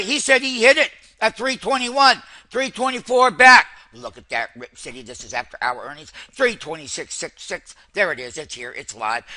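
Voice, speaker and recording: A man with a high, piercingly bright voice delivers live stock-trading commentary. He is excitable and full of hype, hammering phrases again and again as he talks straight to his viewers. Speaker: stock trader and host.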